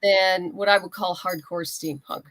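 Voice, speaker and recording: A woman's voice: on the low side, steady tone, a little slow, a bit bright, no room sound, low-quality recording. A woman speaking as a writer guest in a casual interview conversation.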